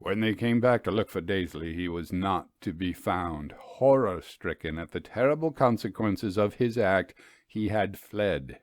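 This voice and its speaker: Slow, low-voiced man reading a story. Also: lively intonation, no echo. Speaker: audiobook story reader. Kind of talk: scripted literary narration of a ghost story.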